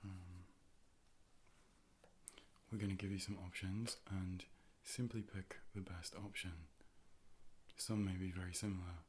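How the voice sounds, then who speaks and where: A low-voiced man performs a soft ASMR role play as a calm, polite optician. His delivery is measured and hushed, often slipping into a whisper. He is close to the microphone, with faint noise behind the voice. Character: calm, polite robot A.I. optician conducting an eye exam. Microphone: close ear-to-ear stereo microphone.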